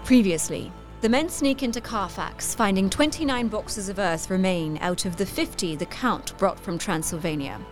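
A woman reading the recap for a radio drama in a clear, measured storytelling voice. Faint music plays beneath her narration. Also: mid-range voice.

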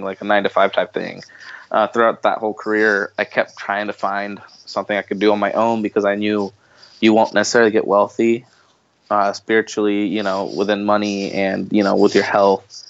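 Podcast guest: man, lowish voice, informal, repeats himself for emphasis, slightly muffled recording. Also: a bit bright, no room sound. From Bosnia, later the United States. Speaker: podcast guest, marketing entrepreneur. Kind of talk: casual conversational interview.

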